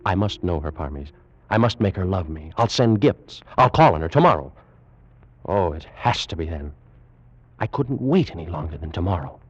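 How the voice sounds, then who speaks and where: An actor playing a proud, fervent ancient Egyptian priest and alchemist in a grave, theatrical 1940s radio drama style. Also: male voice, low voice, lively intonation, moderate pace. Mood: smitten, urgent. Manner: grave, formal, theatrical delivery. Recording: muffled old-time radio recording.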